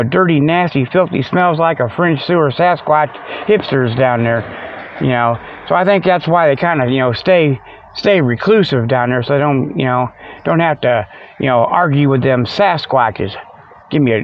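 An American man hosting a comic show in a rambling casual monologue, folksy and meandering, with joking asides. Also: medium pitch. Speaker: host of a comic Sasquatch show. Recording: dull, lo-fi radio-like sound, close and dry.

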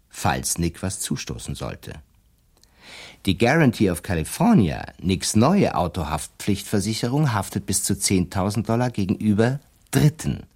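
Sly defence lawyer in a radio play, really low voice, lively swings, very sure of himself. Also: male voice, slightly rough, heavily acted. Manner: deliberate, explanatory, sly and self-assured. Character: shrewd, self-assured male defence lawyer laying out schemes. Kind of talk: German radio drama dialogue.

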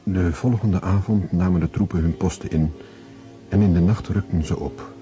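A man's voice: low voice, steady tone, moderate pace, warm tone, faint music behind. A man reading scripted literary narration as the narrator of a radio drama. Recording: dull lo-fi old radio recording.

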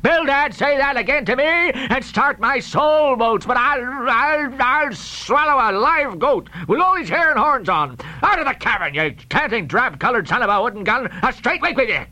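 A man's voice: high voice, sing-song, normal speed, a bit bright, no echo, voice pushed up. A man voicing a hot-tempered old sea captain who blusters in exclamatory, archaic speech. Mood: furious, roaring.

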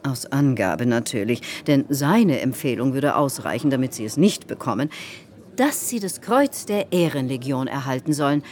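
An actress playing a gossipy, self-important salon hostess in a radio drama, confiding and insistent in a theatrical way. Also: female voice, extremely low pitch, animated, normal speed, a little mellow. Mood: confiding, reproachful.

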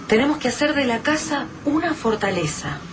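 A woman's voice: sits high, animated, normal speed. An actress with a Rioplatense Argentine accent playing an anxious woman survivor in a radio drama, emotional and pleading. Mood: upset. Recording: noisy radio-drama recording.

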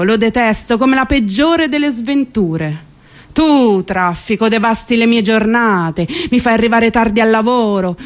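An actress playing an exasperated, sardonic commuter worn down by city life, in a theatrical radio monologue with ironic, emphatic delivery. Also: female voice, on the high side, natural voice. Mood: hateful. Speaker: stage actress.

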